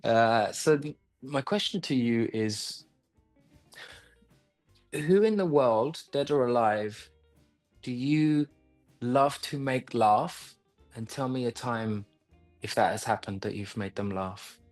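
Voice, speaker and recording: A man from London speaks as an acting coach in a casual interview. He talks slowly and thoughtfully, laughing easily, in a dry and close sound. Faint music sits in the background. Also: mid-range voice, no echo.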